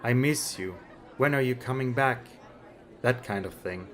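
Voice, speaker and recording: A weary middle-aged father, acted by a man, in an audiobook drama with faint chatter behind him. He is gently frank and resigned, speaking casually at a medium pitch. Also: unhurried, natural voice. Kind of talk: amateur audiobook drama acting. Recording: background crowd chatter.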